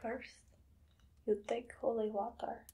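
Whispering, creaky female vampire hunter, played by a woman. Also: on the high side, little pitch movement, dull audio, a little hiss or hum. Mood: coolly menacing. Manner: slow, hushed, deliberate, dramatic pauses. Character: female vampire hunter, gloating, menacing, obsessive experimenter. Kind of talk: whispered ASMR roleplay. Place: small dim room indoors.

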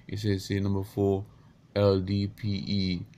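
A man in his thirties with a croaky morning voice, talking unscripted into a webcam in a small bedroom, halting with many restarts and stumbles. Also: on the low side, completely flat delivery, warm tone, slight background noise. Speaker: vlogger. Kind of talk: unscripted casual monologue. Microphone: webcam at close range.

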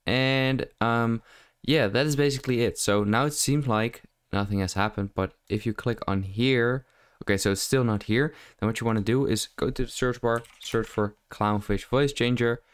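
A man giving a casual software tutorial voice-over in a loosely improvised, hesitant way, close to the microphone. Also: mid-range voice, normal speed, clean tone, dry recording. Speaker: tutorial host. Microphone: close mic, screen-recording voice-over.

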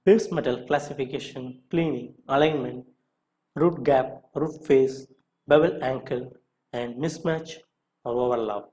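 A man with a mid-range voice narrates a scripted lesson. He reads out questions and follows each with a short answer. His delivery is deliberate, in the way of an instructor. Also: mid-range voice, darkish tone, low-quality recording.

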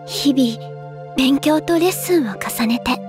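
A woman playing a modest, soft-spoken teenage girl idol who speaks very formally in an anime drama. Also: high voice, expressive, slow, over music. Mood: gently reminiscent. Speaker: voice actress in character. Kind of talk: anime audio drama dialogue.

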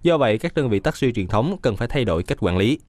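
A man reading scripted news voice-over in a brisk, even newsreader delivery. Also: medium pitch.

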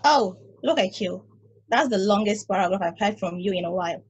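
A woman's voice: medium pitch, animated, fairly quick, slightly rough. A woman voicing a bored, teasing friend at a table read over video call.

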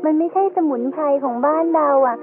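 A woman's voice: sits high, fairly quick, close to an everyday voice. A woman playing a curious, polite little girl who asks questions in a childlike way in an educational radio drama. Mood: curious, eager. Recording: tinny band-limited audio with faint music.

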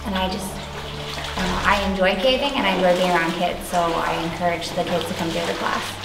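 A woman's voice: fairly low pitch, fairly even intonation, brisk, vocal fry. An American woman in her thirties talking casually in an interview as a volunteer guide. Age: 30s. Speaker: caving class guide. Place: inside a rocky cave. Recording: echoing cave, music underneath.

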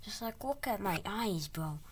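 A woman voicing a plush fox toy who loudly and insistently begs viewers to subscribe. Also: medium pitch, animated, measured pace, noisy background, voice pushed up, natural voice. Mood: pushy.

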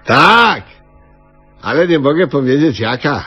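A man's voice: a little high, very animated, a little slow, pushed and bright, soft background music, exaggerated voice. An actor playing an awkward, evasive man in an old radio comedy, hesitant and mumbling. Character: awkward bumbling grown man Mr Sułek. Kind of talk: 1970s radio comedy acting. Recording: dull old radio studio recording.

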